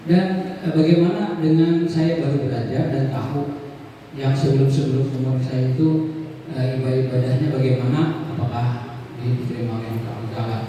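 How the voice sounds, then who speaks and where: Very dark-voiced man in an echoey hall. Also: mid-range voice, fairly even intonation, normal speed, soft background music. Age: 40s. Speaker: congregant asking a question. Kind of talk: hesitant spoken question. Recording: muffled echoey mosque hall, distant mic.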